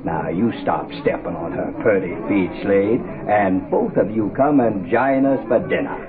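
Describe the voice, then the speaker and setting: A man's voice: lowish voice, unhurried, lightly acted. Big genial southern guy man acting it, old radio play, tinny sound w music. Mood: jovial. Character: big blustery Southern gentleman, pot-bellied, genial, an agent in disguise. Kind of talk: 1960s radio drama acting. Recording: tinny lo-fi 1960s radio recording, music behind.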